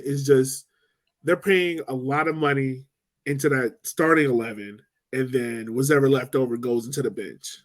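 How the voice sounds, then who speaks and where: A man co-hosting a sports podcast, talking loosely with lots of "um" and "like". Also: a little high. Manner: loose and chatty, lots of "um" and "like", laughs easily. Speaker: podcast co-host. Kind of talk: casual sports chat.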